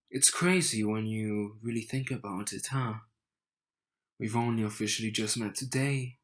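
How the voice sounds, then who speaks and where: Slow-talking, teasing young groom, played by a man. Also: mid-range voice. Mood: smug, teasing. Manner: smug, teasing, unhurried delivery with dramatic pauses. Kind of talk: romantic audio roleplay addressed to the listener.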